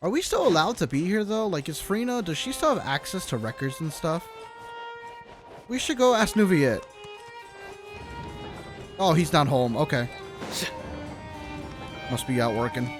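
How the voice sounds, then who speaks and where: A woman's voice: low-pitched, big pitch swings, moderate pace, pauses often. A woman giving casual, slangy live commentary as she reacts to a video game. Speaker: game streamer. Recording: game music behind the voice. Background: video game music.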